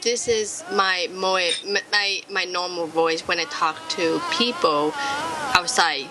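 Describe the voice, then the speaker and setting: A woman's voice: fairly low pitch, fairly even intonation, slow. Woman over noisy webcam. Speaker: guest, maid cafe performer. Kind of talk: casual interview over video call.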